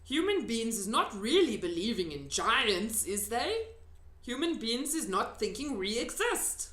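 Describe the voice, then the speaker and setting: A woman's voice: on the high side, sing-song, unhurried, a bit bright, voice pushed up. A woman voicing a big, friendly, earnest male giant from a children's storybook, emphatic and drawn out, with muddled grammar. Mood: earnest explaining. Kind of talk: children's storybook character reading. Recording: echoey room.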